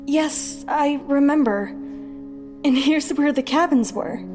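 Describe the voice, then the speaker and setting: A woman's voice: sits high, slow, music in the background. A woman playing a curious, earnest young female adventurer in an audio drama, full of hesitant wonder. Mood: hesitant recognition.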